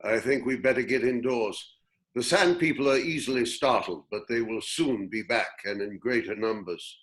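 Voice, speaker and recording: Man playing a kindly, measured old desert sage. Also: medium pitch, a bit bright, close to an everyday voice. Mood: cautious. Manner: measured, reflective, reassuring. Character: old wise desert hermit, gentle and kindly mentor. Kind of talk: script table read acting.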